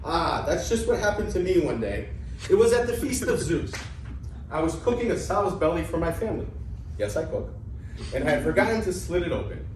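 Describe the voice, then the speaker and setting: A man's voice: a little high, animated, brisk, natural voice. A man playing an earthy, bumbling, debt-ridden would-be student in a theatrical reading of an ancient Greek comedy, with exclamatory delivery. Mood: comic, excited. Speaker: amateur actor in a staged play reading. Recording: echoey room, distant mic, background noise.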